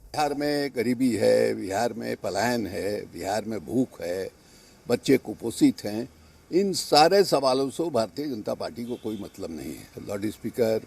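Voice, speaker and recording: A man giving a political soundbite as a politician, deliberately listing points in short phrases. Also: fairly low pitch, expressive, vocal fry, a little mellow, husky.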